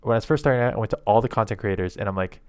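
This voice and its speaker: A man, rambling casually to camera in a monotone, low-key way on an old headset mic at his home desk. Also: very fast, clean tone, no echo. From Canada. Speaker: YouTube content creator. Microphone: old headset microphone.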